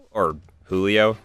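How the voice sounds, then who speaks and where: A man's voice: on the low side, fairly bright tone. A man with an American accent giving casual, rambling, self-deprecating commentary on his own video. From United States. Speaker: creator and voice actor commentating.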